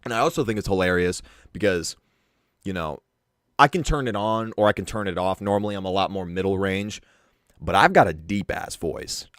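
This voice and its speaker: A young man with a deep voice giving crude, mocking commentary and swearing freely. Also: moderate pace, fairly bright tone, no echo, clean studio sound. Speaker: commentary streamer. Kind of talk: casual drama commentary.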